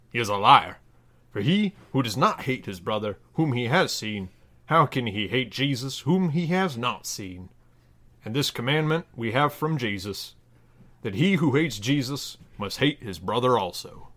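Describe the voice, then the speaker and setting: A man's voice: medium pitch, fairly bright tone. Man putting on a solemn, dramatic scripture-reading preacher. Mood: solemn, declamatory.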